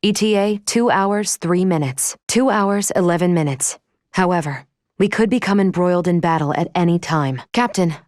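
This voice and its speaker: A woman voicing a composed, logical android starship officer in a video game, speaking formally and precisely with a measured, clinical manner. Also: on the low side, darkish tone, dry recording, clean studio sound, natural voice. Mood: calm, businesslike. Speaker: ship's operations officer. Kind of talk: scripted video game voice acting.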